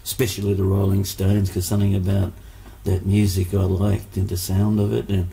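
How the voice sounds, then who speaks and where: A man's voice: low voice, completely flat delivery, normal speed, creaky, mellow. A man, born in Hungary, telling stories as a musician guest in a casual interview, rambling with many fillers and restarts.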